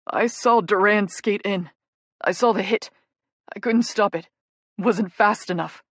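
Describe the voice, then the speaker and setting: A woman voicing an anguished young male hockey player who shouts and swears through sobs. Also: medium pitch, a little slow, no echo, studio quality, close to an everyday voice. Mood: sobbing.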